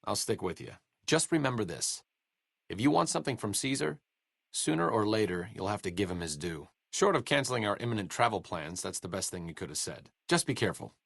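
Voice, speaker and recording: A man's voice: lowish voice, big pitch swings, a little fast, gravelly, fairly bright tone, slightly rough, no room sound, exaggerated voice. An actor playing a young, learned male scientist companion in a game, with a dry, sardonic wit and educated phrasing. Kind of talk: studio game voice acting. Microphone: close studio mic.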